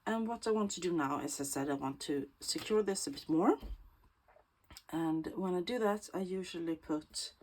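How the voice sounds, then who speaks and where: A woman with a Swedish accent, Swedish native, talking through a bookbinding tutorial in a slow, thoughtful way that often drops to a soft whisper while she works. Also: on the low side, slight background noise. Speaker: bookbinding crafter. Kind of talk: casual craft tutorial.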